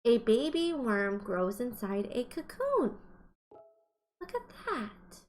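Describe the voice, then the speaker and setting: Woman, kindergarten teacher, slightly high voice, slow pace, read-aloud lesson, encouraging questions to children. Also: sing-song, slight background noise.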